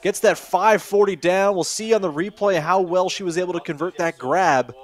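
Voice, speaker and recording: A man calls a freestyle ski event live, his voice sitting a bit high for a man. He talks in a steady, informative way, reading out scores and naming the tricks as they land. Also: normal speed. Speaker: play-by-play commentator. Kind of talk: live sports commentary.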